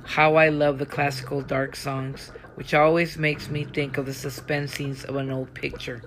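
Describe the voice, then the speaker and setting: A woman's voice: rumbling low, little pitch movement, moderate pace, a little hiss or hum, poor audio. A woman reading as an indignant, protective woman friend, speaking a bitter, fuming inner monologue.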